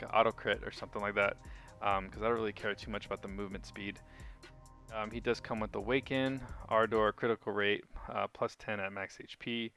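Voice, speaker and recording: A man with a lowish, bright, forward voice gives a casual game overview. He speaks off the cuff and halts to think aloud, with faint music behind him. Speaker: gaming video host.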